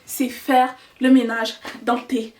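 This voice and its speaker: Young woman, high voice, earnest and chatty, a little distant from the mic. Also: flat delivery, slow pace, slight background noise. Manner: chatty, earnest, mixes in English words. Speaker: vlogger. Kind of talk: casual advice vlog.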